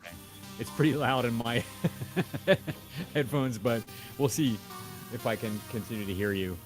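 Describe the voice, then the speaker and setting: A man's voice: mid-range voice, measured pace. A man co-hosting a livestream in casual chat, with a dry, offhand manner. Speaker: co-host of a livestream. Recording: music playing behind the voice. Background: music.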